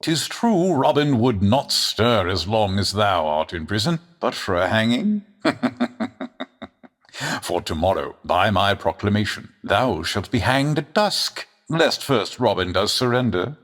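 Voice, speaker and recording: An actor playing a gloating, self-assured medieval English sheriff, declaiming grandly in old radio drama style. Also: male voice, lowish voice, very animated, measured pace, fairly bright tone, heavily acted. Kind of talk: old-time radio adventure acting, archaic English.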